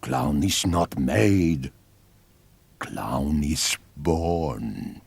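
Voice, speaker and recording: A man's voice: extremely low pitch, animated, vocal fry, a little mellow, breathy edge, no room sound, full character voice. A man voicing a big, sinister clown in a horror story, speaking in broken, clipped, solemn phrases. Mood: solemn, ominous. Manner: broken clipped grammar, slow solemn pronouncements. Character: sinister blue-haired adult male clown, bigger than his fellows.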